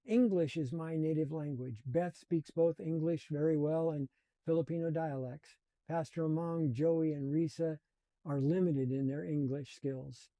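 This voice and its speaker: A man in his seventies telling his life story in a slow, reflective and earnest way. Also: on the high side, mellow, no room sound. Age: 70s. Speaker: channel host. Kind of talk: personal storytelling.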